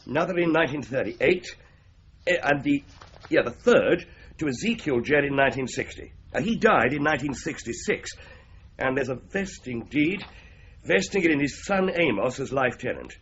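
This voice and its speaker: An actor playing a wry, breezy solicitor in a 1980s radio drama, with an English accent and an offhand, drily joking manner. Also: male voice, mid-range voice, lively intonation, normal speed, fairly bright tone, natural voice. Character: wry, flippant male solicitor, breezy and clubbable. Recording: dull, noisy 1980s radio recording.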